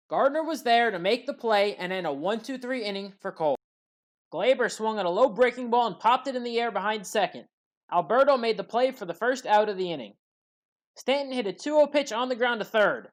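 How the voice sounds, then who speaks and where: Woman doing sports highlight commentary, kinda high voice, reads each play out measured and matter of fact. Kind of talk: scripted baseball play-by-play recap narration.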